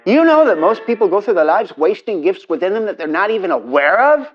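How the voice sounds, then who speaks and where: An actor playing a domineering, wealthy 1950s presidential candidate, pitching grandly and persuasively over a telephone. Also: male voice, very animated, fairly quick. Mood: grandiose. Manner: grandiose, persuasive salesman patter. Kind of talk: audio drama acting, telephone dialogue. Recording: dull telephone-style sound, faint music.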